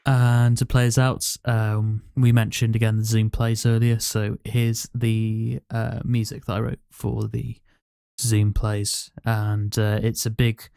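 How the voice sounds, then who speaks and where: A man's voice: on the low side, completely flat delivery, takes it slowly, vocal fry, dark tone, clean tone, dry recording, clean studio sound. A man hosting a casual podcast quiz, reading out questions and answers. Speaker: podcast host and quizmaster.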